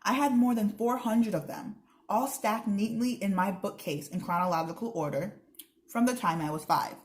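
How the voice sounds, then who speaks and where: A woman's voice: medium pitch, normal speed. A young woman reading a children's book aloud, expressively, with chatty asides to her listeners. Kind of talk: read-aloud narration with casual asides.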